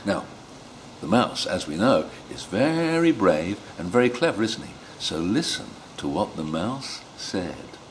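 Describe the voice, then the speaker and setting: A man teaching how to read stories aloud to children, speaking deliberately and expressively, with coaxing questions. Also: fairly low pitch, big pitch swings, slight background noise. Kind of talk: instructional talk with dramatic children's story reading.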